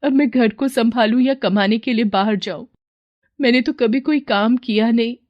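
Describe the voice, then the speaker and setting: A woman voicing a higher-pitched, meek young daughter-in-law. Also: normal speed, dull audio, natural voice. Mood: despairing. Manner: respectful, plaintive.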